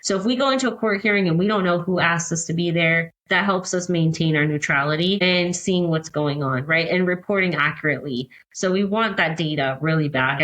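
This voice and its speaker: Slightly low-pitched American woman explaining. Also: a bit bright, low-quality recording. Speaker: podcast guest, court-watch advocate. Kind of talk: conversational explanatory interview talk.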